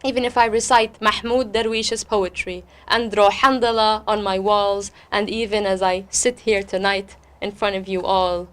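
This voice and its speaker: A woman's voice: medium pitch, little pitch movement, normal speed, few pauses. A woman who is an interview guest and poet, speaking expressively and repeating words for weight. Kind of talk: interview talk and spoken-word poetry recital.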